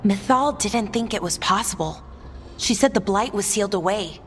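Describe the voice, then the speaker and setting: A woman's voice: medium pitch, lively intonation, normal speed, long reverb tail, a little hiss or hum, natural voice. A woman playing an earnest, thoughtful elven scholar who explains things in natural fantasy game dialogue. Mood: earnest, explaining.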